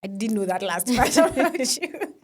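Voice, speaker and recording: A woman's voice: mid-range voice, animated. Chatty woman hosting a podcast. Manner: chatty and welcoming, quick reactions. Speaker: podcast host. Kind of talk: casual interview talk. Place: podcast studio.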